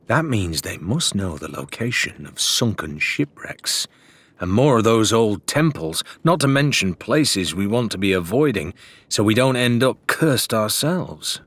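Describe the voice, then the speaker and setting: A man voicing a thoughtful, level-headed pirate in an audiobook, measured and reflective. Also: fairly low pitch, a bit bright, husky, heavily acted.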